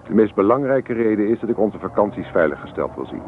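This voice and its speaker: An actor playing a restless, stubborn young student husband from a farming family in a radio play, sounding insistent and self-justifying. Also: male voice, fairly low pitch, animated, fast, lightly acted. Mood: impatient, dismissive. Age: young adult. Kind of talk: Dutch radio play acting. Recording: noisy, thin, phone-like old radio recording.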